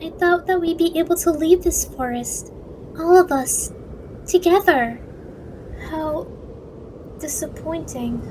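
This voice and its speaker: Actress for wistful little girl guardian in anime fan dub: high voice, slow theatrical delivery, music underneath. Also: noisy background. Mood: disappointed. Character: young girl guardian, wistful, devoted daughter. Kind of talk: dramatic anime-style fan dub acting.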